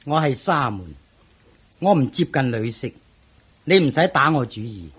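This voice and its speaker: An actor playing a stern, chaste monk in a Cantonese radio drama, firm and indignant, with a Hong Kong accent. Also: male voice, medium pitch, big pitch swings, moderate pace, fairly bright tone, husky, exaggerated voice.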